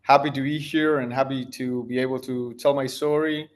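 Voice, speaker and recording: A man's voice: medium pitch, steady tone, normal speed. A man, answering interview questions as a tech founder in a thoughtful way, searching for words as he goes. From Argentina. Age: 30s.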